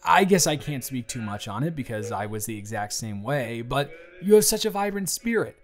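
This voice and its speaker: A man's voice: mid-range voice, big pitch swings, normal speed, lightly acted. A man playing a fond, chatty office boss in his early thirties in a romantic audio roleplay, speaking earnestly and playfully straight to the listener. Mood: sincere, encouraging. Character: warm, earnest thirty-three-year-old male office boss, fond and encouraging. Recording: background music. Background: music.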